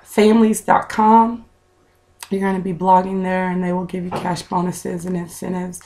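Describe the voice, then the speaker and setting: A woman in her thirties with a raspy voice, chatting to viewers in a casual home vlog, recorded on a webcam indoors. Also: lowish voice, flat delivery, normal speed, mellow, slight background noise. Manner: chatty, direct to viewers, with fillers. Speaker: vlogger. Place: small room at home, indoors.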